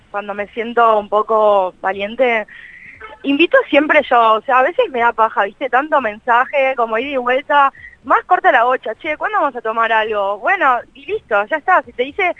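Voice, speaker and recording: A woman's voice: high-pitched, no room sound, narrow, tinny audio. A young Argentine woman chatting casually as an interview guest, with a colloquial, slangy and self-deprecating manner. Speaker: interview guest, co-creator of a meme page. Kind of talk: casual chat in a radio interview.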